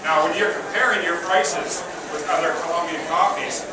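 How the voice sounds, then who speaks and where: A man's voice: a little high, moderate pace. A man with a Wisconsin accent giving an informal, plain-spoken product presentation to an audience as a company representative. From Wisconsin, United States. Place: large echoey room at a trade food show. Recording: echoey hall, distant mic, crowd noise. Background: crowd chatter.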